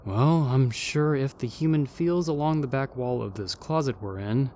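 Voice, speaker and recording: Level-headed old-fashioned male ghost acted by a man, middling pitch, formal matter-of-fact delivery, light background ambience. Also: normal speed, darkish tone, slight background noise, close to an everyday voice. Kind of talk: fan audio roleplay comedy acting.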